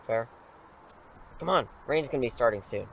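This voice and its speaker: A man's voice: mid-range voice, lively intonation, normal speed, vocal fry. Man as cocky superhero schoolteacher, breezy, persuasive, theatrical, audio drama acting, rain and city noise behind. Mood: easygoing. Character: eager, cocky male English teacher secretly a superhero.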